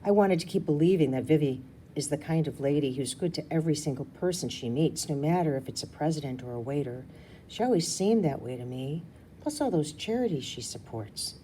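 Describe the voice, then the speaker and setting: A woman's voice: sits low, animated, a little fast, warm tone, a little hiss or hum. A woman reading a children's story aloud expressively, slipping into casual asides. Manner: breaks off for casual asides and explanations. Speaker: book reader.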